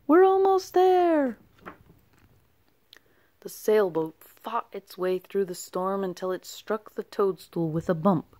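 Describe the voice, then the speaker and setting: A woman's voice: lowish voice, steady tone, a little slow, hardly any pauses, darkish tone. A woman reading a children's story aloud as scripted narration. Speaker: storybook reader.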